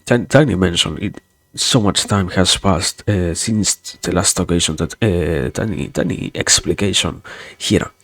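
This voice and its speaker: Young guy w an accent streaming from home, super low gravelly voice, kinda husky, stumbles and repeats himself. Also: a little slow, dry recording. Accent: non-native English accent. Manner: hesitant, rambling, repeats words often; wry sarcasm. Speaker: virtual streamer. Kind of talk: casual livestream chat.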